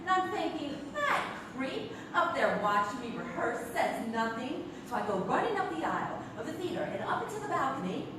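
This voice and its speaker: A distant, very echoey and noisy stage recording of a woman playing a breezy film starlet. She chats in a high, animated voice, self-mocking and wisecracking like a talk-show guest in a radio comedy-drama. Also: fairly quick, few pauses. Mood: chatty, amused. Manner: excitable, wisecracking. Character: breezy, self-mocking movie starlet telling a ghost story. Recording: noisy echoey theatre from far off.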